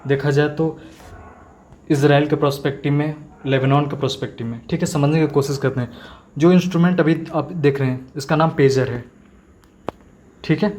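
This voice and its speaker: Male exam tutor, slightly high voice, informal step-by-step explaining peppered with English terms and check-in tags. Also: fairly even intonation, normal speed, long pauses, darkish tone. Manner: frequent check-in tag phrases, mixes in English terms. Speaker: exam-prep teacher. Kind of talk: informal explanatory current-affairs lecture.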